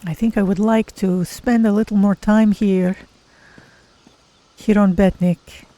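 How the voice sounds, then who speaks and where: A streamer voicing a gentle cat-folk adventurer in a video game roleplay, speaking in deliberate, formal phrases as if musing aloud. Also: medium pitch, fairly even intonation, dry recording, lightly acted. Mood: musing, calm.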